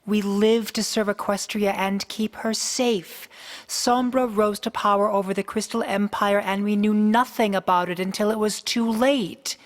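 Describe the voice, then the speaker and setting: Slow, regal pony princess acted by a woman. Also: medium pitch, fairly even intonation, dry recording, lightly acted. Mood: stern, instructing. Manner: formal, grave, measured. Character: regal pony princess, stern, weary, duty-bound elder sister. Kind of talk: radio drama acting.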